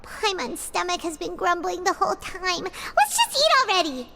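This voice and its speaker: Very high, squeaky excitable little fairy girl. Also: moderate pace, very noisy, heavily acted. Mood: wondering, eager. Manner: whiny, exclaiming, speaks of herself in third person. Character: tiny excitable floating fairy-like girl companion, always hungry. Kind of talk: anime-style video game voice acting.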